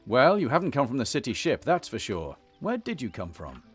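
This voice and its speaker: An actor playing a noble, resolute young warrior leader in a fantasy game, earnest and commanding. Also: male voice, mid-range voice, huge pitch swings, brisk, breathy edge, soft background music. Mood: warm, formal. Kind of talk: fantasy video game voice acting.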